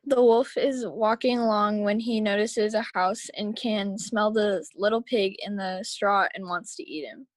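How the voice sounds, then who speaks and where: A woman's voice: medium pitch, fairly even intonation, a little slow, few pauses, no echo. A woman reading scripted story action aloud at a table read over a video call. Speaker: reader of action lines. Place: remote video call, close dry sound.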